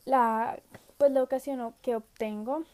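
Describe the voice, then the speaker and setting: A woman's voice: high-pitched, steady tone, normal speed, a little mellow. A seventeen-year-old girl who is unwell, answering study questions in a casual video message, often hesitating, trailing off and laughing. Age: teens. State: sick.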